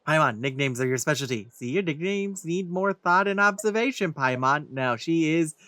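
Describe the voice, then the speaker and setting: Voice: medium pitch, animated, moderate pace, sharp tone, no room sound. A streamer giving casual, conversational gameplay commentary and reacting aloud. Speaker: let's play streamer. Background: game music.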